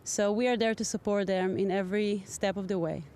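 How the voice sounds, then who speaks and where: Woman, medium pitch, informal interview explanation. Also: fairly even intonation, fairly quick, a little mellow, slight background noise. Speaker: support organization representative.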